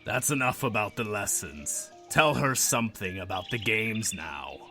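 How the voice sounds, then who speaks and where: A man playing a brusque, excitable gryphon in an audiobook drama, with swooping emphasis. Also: fairly low pitch, measured pace, soft background music, exaggerated voice. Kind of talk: scripted audiobook character acting.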